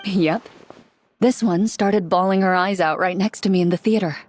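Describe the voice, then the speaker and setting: A woman's voice: lowish voice, normal speed, darkish tone, dry recording, natural voice. A woman voicing a cool, composed young woman in an anime game, with dry, teasing delivery. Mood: wry amusement. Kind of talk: anime video game voice acting. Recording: music playing behind the voice.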